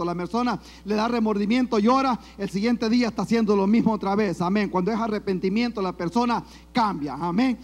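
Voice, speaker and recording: A man with a Salvadoran accent preaching a sermon in church, punctuating his points with frequent amens and calls to the congregation. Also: high voice. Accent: Salvadoran Spanish. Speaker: pastor.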